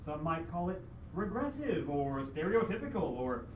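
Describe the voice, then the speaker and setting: A man's voice: a little high, big pitch swings, moderate pace, a little mellow. A man playing a heroic adventurer who narrates his dragon quest as a solemn, melodramatic old-time radio play. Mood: brave, dramatic. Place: live theatre stage with audience. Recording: echoey live hall, distant mic, noisy.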